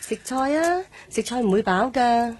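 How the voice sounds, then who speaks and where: A woman's voice: a little high, lively intonation, moderate pace, background noise, lightly acted. A woman with a Hong Kong Cantonese accent playing a homely, hospitable mother in a radio drama, chatty and coaxing. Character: homely, hospitable mother hosting a family dinner. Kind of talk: naturalistic radio drama acting.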